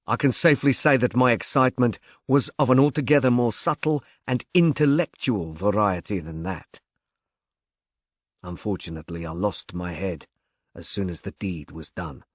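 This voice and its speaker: A man's voice: on the low side, moderate pace, no room sound, clean studio sound, natural voice. A man voicing a smug, insane murderer who confesses in pompous, precise, wordy language, as in an audiobook. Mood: smug, composed. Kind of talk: audiobook first-person confession.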